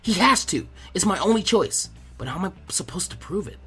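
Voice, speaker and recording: A man voicing a brash, loud, indignant boy ninja trainee in a story reading, impulsive and a little whiny. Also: high-pitched, animated, brisk, breathy edge, soft background music, exaggerated voice. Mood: worried, determined. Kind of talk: acted fan fiction reading.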